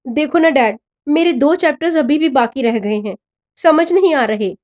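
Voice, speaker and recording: A woman's voice: sits high, expressive, normal speed, darkish tone, pitched above the performer's own voice. A woman narrator voicing an anxious, loving teenage schoolgirl in a story. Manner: earnest, affectionate.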